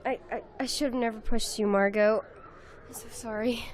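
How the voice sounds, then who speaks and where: A woman's voice: a little high, fairly even intonation, normal speed, natural voice. A young woman in an amateur audio drama playing an outspoken, loyal teenage girl with an earnest, emphatic delivery. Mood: remorseful. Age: young adult.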